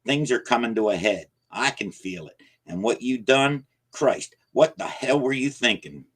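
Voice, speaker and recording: A man voicing an aging rural man who scolds in rough, folksy grammar, angry and frightened. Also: lowish voice, moderate pace, lightly acted. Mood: urgent, scolding. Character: gruff aging backwoods man, scared and angry.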